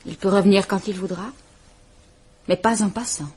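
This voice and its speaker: A woman playing a husky, frightened but dignified wife. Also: mid-range voice, fairly even intonation, normal speed, darkish tone, close to an everyday voice. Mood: restrained, bitter. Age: 30s. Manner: composed, formal, restrained. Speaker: woman reporting her husband. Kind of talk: vintage radio drama acting.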